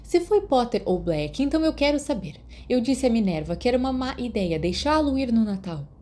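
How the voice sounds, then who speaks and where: A woman's voice: on the high side, very animated, brisk, dark tone, pitched above the performer's own voice. A woman voicing a strict, scolding older woman who works as a school nurse in an audiobook. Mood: stern, irritated. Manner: stern, fussing, reproachful. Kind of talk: audiobook character voice.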